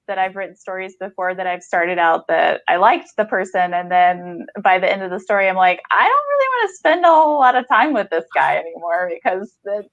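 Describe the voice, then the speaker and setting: A woman's voice: mid-range voice, fairly bright tone, dry recording, highs cut off. A woman hosting a podcast, interviewing an author in a reflective, affirming, conversational way. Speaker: podcast host.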